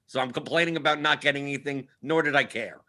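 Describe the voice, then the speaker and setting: A man's voice: on the high side, fast talker, gravelly, pushed and bright. A man in his early forties hosting a casual livestream sports show, repeating himself and tagging sentences with "right?" in a self-deprecating, complaining way. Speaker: show host. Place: indoors at a computer on a split-screen video call.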